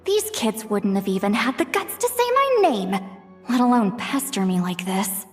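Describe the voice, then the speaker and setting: A woman's voice: fairly high pitch, very animated, moderate pace, breathy edge, soft background music. An actress playing a grumpy, put-upon young woman in a video game, with a dry, exasperated delivery.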